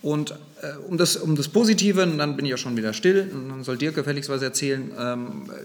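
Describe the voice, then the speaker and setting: Joking man in his forties, higher voice. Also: fairly quick. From Germany. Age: 40s. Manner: joking, self-deprecating, hesitant with fillers. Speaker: sexton and podcast co-host. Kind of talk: casual chat.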